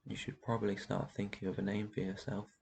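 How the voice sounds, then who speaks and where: An ancient vampire master, acted out by a man, with a low voice full of gravelly creak. He speaks in a gentle, protective and soothing way, as in an intimate roleplay ASMR. His delivery stays very even, with slight noise behind it. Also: a little fast. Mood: reassuring, protective. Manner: soft shushing, reassuring, tender endearments. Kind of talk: roleplay ASMR, intimate one-sided dialogue.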